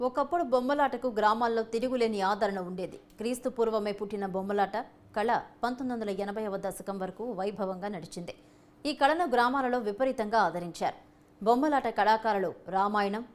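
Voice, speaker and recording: A woman reading scripted narration for a documentary-style news feature. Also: fairly high pitch.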